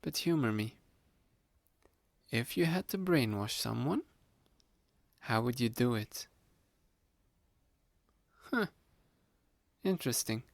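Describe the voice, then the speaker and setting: Sly young male roommate, acted by a man: playful, manipulative hypnotist for ASMR roleplay, creaky voice, close dry mic, unhurried delivery. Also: medium pitch, lively intonation, no echo. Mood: casual, explanatory. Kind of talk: ASMR roleplay, addressed to the listener. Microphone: close studio-style microphone, dry.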